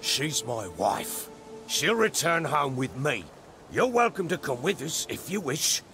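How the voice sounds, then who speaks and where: An actor playing a remorseful, rough middle-aged husband and father in a video game, speaking in a solemn, measured way. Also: fairly high pitch, sing-song. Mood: pleading, insistent. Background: game music.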